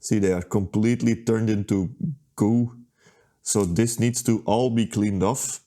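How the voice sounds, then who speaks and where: A man talking through a hands-on repair at his workbench, unhurried and thinking aloud with plenty of ums and uhs. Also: fairly low pitch, steady tone, mellow. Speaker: repair video host. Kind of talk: casual how-to narration.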